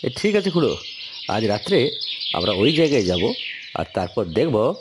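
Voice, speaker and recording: A man's voice: mid-range voice, big pitch swings, normal speed, close to an everyday voice. A man voicing an anxious, deferential poor young villager who speaks hesitantly and pleadingly in a cartoon, with noise behind. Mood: uneasy. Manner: hesitant, stammering starts, pleading.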